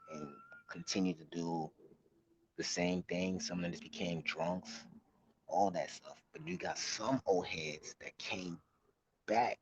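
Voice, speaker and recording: Man around thirty, very low creaky voice, slangy casual commentary, halting delivery, noisy outdoor audio. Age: late 20s, about thirty. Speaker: vlog host. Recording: muffled, noisy outdoor recording with clicks.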